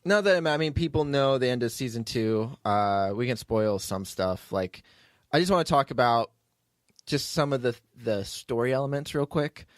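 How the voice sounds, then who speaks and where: A man's voice: mid-range voice, animated, moderate pace, dry recording, studio quality. A man hosting a podcast as its writer and producer, telling behind-the-scenes stories in a casual, rambling way.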